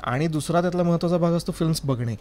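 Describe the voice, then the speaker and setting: A man's voice: a little high, rapid-fire, a little mellow, slight background noise. A man, an interview guest explaining things step by step in casual conversation. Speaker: interview guest, sound designer.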